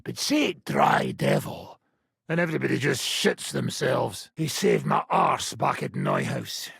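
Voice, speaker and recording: Gravelly old robber baron. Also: male voice, mid-range voice, huge pitch swings, measured pace, a bit bright, no echo, clean studio sound, exaggerated voice. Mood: wry, grumbling. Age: older. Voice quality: rough, raspy, gravelly. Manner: blunt, profane, sardonic. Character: foul-mouthed old wanted robber baron, gruff war-band leader. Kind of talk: video game character dialogue.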